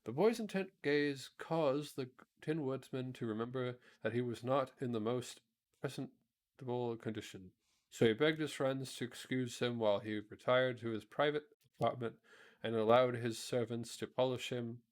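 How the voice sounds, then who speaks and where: A man narrating a children's storybook aloud, a little halting as he reads. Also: medium pitch. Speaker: narrator, streamer reading aloud.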